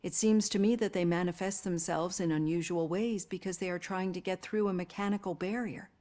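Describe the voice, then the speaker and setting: An actress playing a composed, caring female psychologist in a video game, speaking in a measured, earnest, articulate way. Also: lowish voice, fairly even intonation, darkish tone, lightly acted. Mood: earnest, reassuring. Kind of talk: acted video game dialogue.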